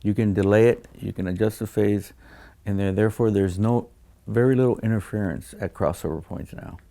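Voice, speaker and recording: A man speaking as a design engineer in an explanatory interview, measured and technical, often pausing mid-phrase. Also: fairly low pitch, creaky, dark tone.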